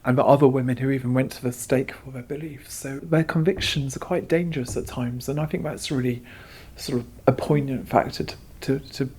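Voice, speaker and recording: Husky man explaining in an interview. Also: medium pitch, fairly even intonation, fairly quick, a little mellow. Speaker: curator being interviewed.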